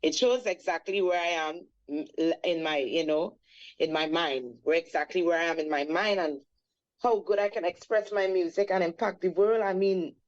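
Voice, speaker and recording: A woman's voice: lowish voice, moderate pace, muffled recording. A woman in her forties from Trinidad with a Trinidadian accent, a reggae singer guest recounting her life in a reflective, storytelling way with many fillers. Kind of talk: radio interview.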